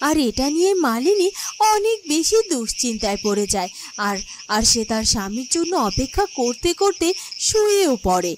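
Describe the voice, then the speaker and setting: A woman's voice: high voice, background noise. A woman narrating a scripted fairy-tale cartoon as its storyteller. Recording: music and crickets behind the voice.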